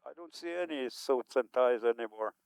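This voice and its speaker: A man's voice: medium pitch, normal speed, vocal fry, darkish tone, clear, resonant tone, no echo, rough recording. A man in his seventies giving rambling, unscripted remarks at a community event, chuckling often as he talks. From Manitoba, Canada. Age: 70s.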